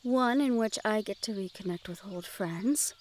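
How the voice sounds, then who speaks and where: A woman playing a gentle, curious young noblewoman in a fantasy audio roleplay, speaking to the listener in formal, measured phrases. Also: medium pitch, a little hiss or hum, lightly acted. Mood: friendly, curious. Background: outdoor garden ambience with birdsong.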